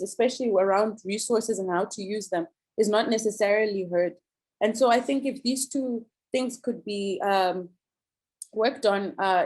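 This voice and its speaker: A young woman from Zimbabwe giving an earnest, thoughtful contribution in an online meeting, pausing often with ums and restarts. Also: medium pitch, a little mellow. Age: young adult. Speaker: youth summit participant.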